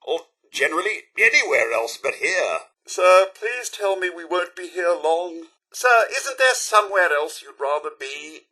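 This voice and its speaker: A loyal robot butler from a video game, British and very prim. The voice is high-pitched and strikingly bright, with lively swoops. The delivery is formal, fussy and theatrical. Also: male voice, husky, exaggerated voice. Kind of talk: game companion voice lines.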